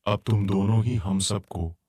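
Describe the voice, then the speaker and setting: A man voicing a cursed king turned into a talking tiger in a cartoon story, speaking in a calm, solemn way as he explains things. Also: fairly low pitch, unhurried, slightly rough.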